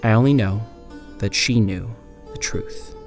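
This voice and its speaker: A man playing an amnesiac old-time detective, narrating a grim first-person account in a horror audio drama in a measured, solemn way. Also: mid-range voice, huge pitch swings, soft background music. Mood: haunted, solemn. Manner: measured, solemn, literary delivery. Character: amnesiac former Pinkerton detective writing a grim final account.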